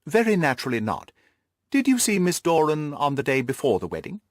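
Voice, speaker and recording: Suave detective, done by a male reader, composed, inquisitive, brisk questions, very varied pitch, audiobook dialogue. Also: wildly swooping pitch, dry recording, studio quality. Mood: brisk, probing.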